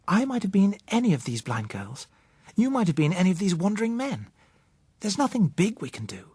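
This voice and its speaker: An earnest young woman from an audiobook novel, as a male reader puts her on, with a raised, husky voice. She is tender and persuasive, stressing her words as she pleads. The sound is close and dry. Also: sits high, wildly swooping pitch, fairly quick, darkish tone, dry recording, voice pushed up, full character voice. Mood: earnest pleading. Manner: pleading, emphatic.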